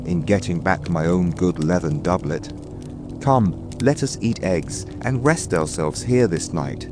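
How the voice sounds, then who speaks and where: Guy doing a polite earnest cobbler from a storybook, lowish voice, music behind. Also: a little slow. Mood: contented. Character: good-natured, courteous male cobbler, Spare, of fairy tale.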